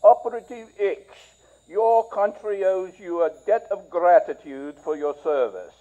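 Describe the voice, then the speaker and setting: A man's voice: sits high, lively intonation, unhurried, mellow, faint music behind. A man acting as a solemn, pompous official in a radio drama, formal and declamatory, on a slightly dull recording. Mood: solemn, proud. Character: solemn, pompous male official praising an agent.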